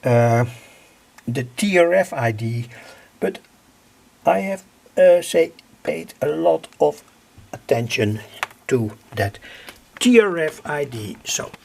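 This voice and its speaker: A man giving an informal technical explanation in a halting way, often dropping in small filler phrases as he presents. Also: on the high side, lively intonation, creaky, darkish tone, slight background noise. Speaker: presenter of electronics project videos. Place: at home, indoors.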